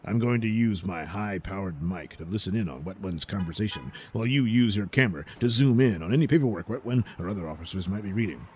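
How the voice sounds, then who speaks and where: A man's voice: on the low side, lively intonation, quick pace, few pauses, a little mellow, slight background noise, lightly acted. A man voicing a pompous, bossy costumed superhero in a comedic audio play, scolding and self-important. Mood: scheming, matter-of-fact.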